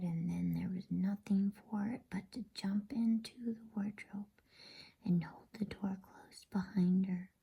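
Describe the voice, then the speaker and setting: A woman reads a bedtime story in a soft unvoiced whisper, hushed and soothing. Her delivery is unhurried and low, and the sound is dark and deeply breathy, with a grainy fry at the edges.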